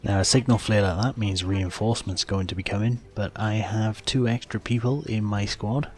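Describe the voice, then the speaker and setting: Low-voiced man explaining gameplay. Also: steady tone, normal speed. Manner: plain, step-by-step explaining. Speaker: gaming video host. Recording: faint game music behind voice. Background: game soundtrack.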